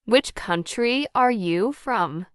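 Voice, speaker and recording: A woman's voice: a little high, lively intonation, slow, clean tone, dry recording, clean studio sound. A woman reading short English phrases for learners, first whole, then word by word, then whole again. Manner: careful word-by-word dictation. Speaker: English teacher. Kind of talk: slow model sentences for repetition drills.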